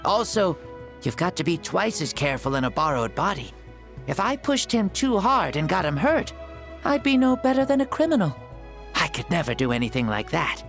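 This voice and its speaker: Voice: medium pitch, sing-song, normal speed, gravelly, fairly bright tone, faint music behind. An actor playing a spirited ancient hero living in a borrowed young body, with a playful, theatrical way of talking in a video game. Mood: playful, rueful. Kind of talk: scripted video game dialogue.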